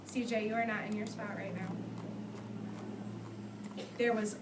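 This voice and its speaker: A woman's voice: mid-range voice, flat delivery, a little slow. A woman teacher reading aloud to young children and asking them questions, instructive and full of praise, recorded from a distance in a classroom. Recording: echoey noisy classroom, distant microphone.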